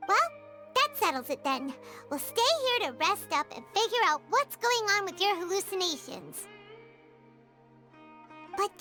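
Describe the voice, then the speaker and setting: Squeaky childlike fairy sidekick. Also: female voice, full character voice. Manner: refers to self in third person, exclamatory. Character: small childlike floating fairy companion, chatty and fretful. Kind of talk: animated video game voice acting. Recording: game music behind the voice.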